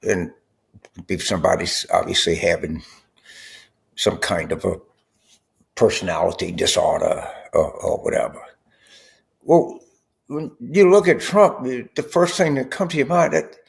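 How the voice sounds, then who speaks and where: A man from South Louisiana giving informal commentary in a halting, deliberate manner. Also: medium pitch, vocal fry. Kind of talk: slow informal political commentary.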